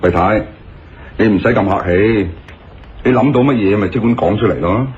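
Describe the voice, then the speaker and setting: A man's voice: lowish voice, moderate pace, a little mellow, dull audio, a little hiss or hum, close to an everyday voice. A man playing a brusque, sceptical police colonel in a Cantonese radio drama. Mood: argumentative.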